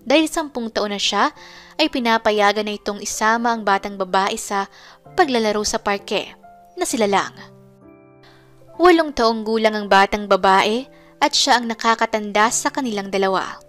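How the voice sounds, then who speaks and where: A woman's voice: medium pitch, moderate pace, pauses often, fairly bright tone. A woman narrating a scripted romance story in a dramatic storytelling style. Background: background music.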